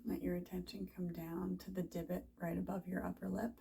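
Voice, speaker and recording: A woman teaching a guided body scan meditation, giving soft, soothing, unhurried instructions with long pauses. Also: on the low side, little pitch movement, vocal fry, slight background noise.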